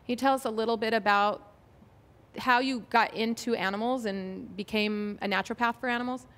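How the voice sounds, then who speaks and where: A woman in her forties hosting a pet podcast, chatting in a friendly way with speeding and slowing pace, on a lapel mic in a bright studio. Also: on the high side, steady tone. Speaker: podcast host. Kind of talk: casual chat.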